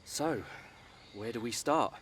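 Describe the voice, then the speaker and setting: A man's voice: medium pitch, slow, a little hiss or hum, close to an everyday voice. An actor playing a cheeky young man at his family's garage, in a radio soap. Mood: joking. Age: young adult. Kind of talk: radio soap opera acting.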